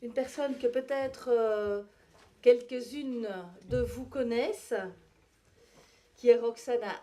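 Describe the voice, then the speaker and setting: A woman's voice: fairly high pitch, steady tone, slow, warm tone. A woman moderating a conference, introducing the next speaker.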